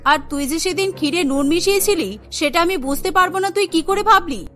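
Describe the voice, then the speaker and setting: A woman's voice: very high voice, fairly quick, a bit bright, faint music behind. A woman voicing a stern, protective middle-aged mother-in-law in a cartoon story, speaking firmly and emphatically. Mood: angry scolding. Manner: firm, scolding, emphatic. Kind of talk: Bengali cartoon story acting.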